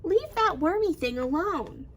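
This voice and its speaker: Woman voicing a high-pitched, fussy palace maidservant. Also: very animated, a little slow, heavily acted. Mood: disgusted, anxious. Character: squeamish, dutiful female palace maidservant.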